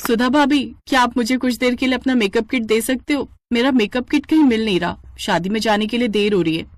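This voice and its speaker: A woman's voice: fairly high pitch, a little fast, dry recording, studio quality, close to an everyday voice. A woman voicing a polite, deferential young sister-in-law in a storybook tale. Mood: asking politely.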